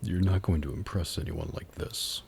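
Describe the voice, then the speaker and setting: A man playing a haughty, predatory demon in an ASMR roleplay, taunting and flirting in a condescending purr that dips into breathy whispers. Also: sits low, moderate pace, darkish tone, exaggerated voice. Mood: musing, menacing.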